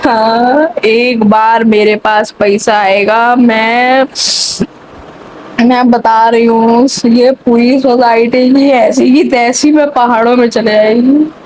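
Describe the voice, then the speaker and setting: A woman's voice: on the high side, steady tone, slow, darkish tone, a little hiss or hum, poor audio. A young woman vlogger ranting casually to camera in a sarcastic, chatty way, with a mixed English accent she picked up online.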